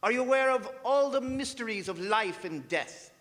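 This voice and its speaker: An actor playing a grave, urgent professor who hunts vampires, speaking emphatically and portentously in radio drama style. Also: male voice, very high-pitched, expressive, moderate pace, a bit bright. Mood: portentous, insistent. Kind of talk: theatrical radio drama acting. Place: performing arts center stage. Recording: echoey theatre hall with music.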